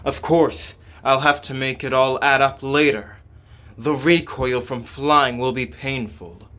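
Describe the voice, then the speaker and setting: A man voicing a calm, devoted surgeon in a game, with a deliberate, explanatory manner. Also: mid-range voice, slight background noise. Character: calm male surgeon devoted to protecting humans. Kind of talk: scripted game dialogue reading.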